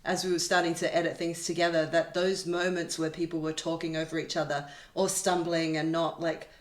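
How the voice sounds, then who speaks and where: A woman's voice: deep voice, flat delivery, fairly quick. A woman co-hosting a casual discussion, explaining things conversationally. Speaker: producer, co-host. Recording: echoey room.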